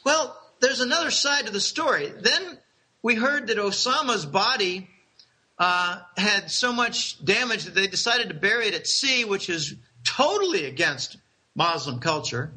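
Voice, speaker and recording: High, bright-voiced man telling rambling stories. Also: expressive, measured pace, husky, poor audio. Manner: rambling, chuckling, with many restarts. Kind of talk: casual conspiratorial storytelling.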